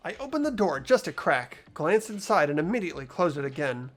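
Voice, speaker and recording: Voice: mid-range voice, sing-song, fairly quick, faint music behind. A player voicing a mild-mannered, hesitant teenage boy detective, reading a video game's dialogue and inner monologue aloud. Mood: curious, thinking aloud. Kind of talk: amateur voice acting and inner monologue of a game script.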